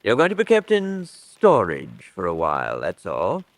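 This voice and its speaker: An actor playing a cold, suave, middle-aged spymaster who poses as a country gentleman, haughty and deliberate, in a radio drama. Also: deep voice, wildly swooping pitch, no room sound, heavily acted. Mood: smooth menace.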